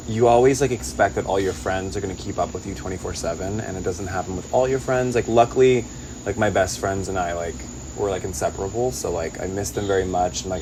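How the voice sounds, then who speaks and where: A man's voice: low voice, noisy background. A man from Los Angeles with a Californian accent, answering interview questions in a casual, informal way with lots of "like". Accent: Californian American. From Los Angeles, United States. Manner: informal, peppered with "like". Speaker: interview guest, singer-songwriter and digital nomad.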